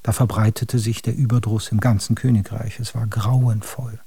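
A man's voice: on the low side, little pitch movement, moderate pace, vocal fry, dark tone, husky, no room sound, heavily acted. A man playing the grandiloquent storyteller of a grim, absurd fairy tale, narrating a scripted radio drama straight to the listeners. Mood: confiding, macabre. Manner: grandiloquent, addressing listeners directly.

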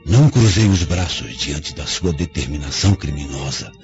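Proud, dying vengeful father; very low Brazilian voice, solemn, widely swinging delivery, radio play. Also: expressive, normal speed, a little mellow. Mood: grave, bitter. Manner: solemn, formal, theatrical phrasing. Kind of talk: radio drama acting. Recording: dull lo-fi radio drama, faint music behind.

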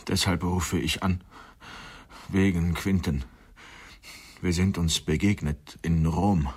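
Very deep, husky, halting man. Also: fairly even intonation, darkish tone, exaggerated voice. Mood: worried. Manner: halting, subdued. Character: shaken, hesitant man on the telephone. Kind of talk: radio drama acting.

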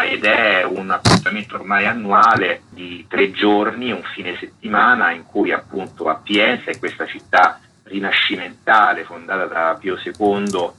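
Man, very low pitch, bright forceful tone, interview guest, light background noise. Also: low-quality recording. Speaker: festival artistic director, interview guest. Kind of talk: radio interview, explanatory.

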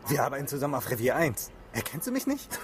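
An actor playing a wry, informal police officer in a naturalistic radio drama. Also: male voice, mid-range voice, very animated, fast talker, over music, natural voice. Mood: dry, defiant. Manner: wry, sarcastic. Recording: noisy scene with clicks and traffic.

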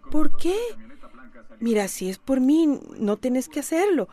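A Costa Rican woman playing a gentle, understanding mother in a radio soap, tender and expressive. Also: sits high, a little slow. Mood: concerned, tender. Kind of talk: radio soap opera acting.

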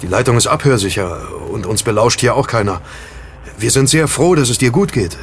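An actor playing a friendly young amateur sleuth in an audio drama, casual and soothing with slight hesitations. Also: male voice, mid-range voice, lively intonation, brisk, a little hiss or hum, close to an everyday voice. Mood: reassuring. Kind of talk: German audio drama acting.

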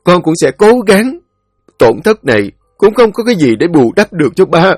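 A man's voice: sits high, very animated, normal speed, slightly rough, no room sound, studio quality, faint music behind, pitched above the performer's own voice, full character voice. A man voicing a tearful pleading daughter. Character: grieving grown daughter comforting her old father.

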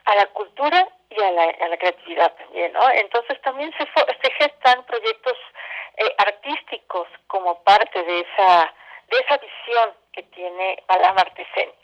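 A woman's voice: mid-range voice, expressive, a little slow. A Mexican woman speaking as an interview guest, an arts educator explaining her work conversationally and building her points through lists and repetition. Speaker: guest, arts educator and theatre company director. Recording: muffled, band-limited phone call.

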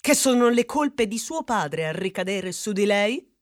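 A woman's voice: mid-range voice, animated, measured pace, lightly acted. A woman playing a bossy male castaway boss in an absurdist stage comedy, declamatory, accusing and sarcastic. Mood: grandiose indignation. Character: bossy male castaway leader, woodcutter's son, pompous cannibal demagogue. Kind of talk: theatrical absurdist comedy acting.

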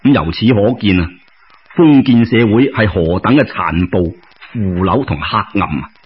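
沙聲粵語男講古佬. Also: male voice, fairly low pitch, husky. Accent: Cantonese. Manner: colloquial, expressive, with exclamations and drawn-out particles. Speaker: storyteller, radio show host. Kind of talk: traditional Cantonese storytelling narration. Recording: dull lo-fi radio-style recording.

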